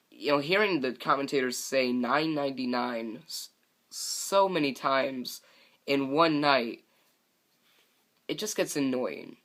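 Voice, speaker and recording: A man's voice: medium pitch, bright, forceful tone. Young man rambling on a broken mic. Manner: rambling, frustrated, many fillers and restarts. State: voice a bit scratchy from talking all day. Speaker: podcast host. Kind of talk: solo wrestling podcast rant. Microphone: broken microphone.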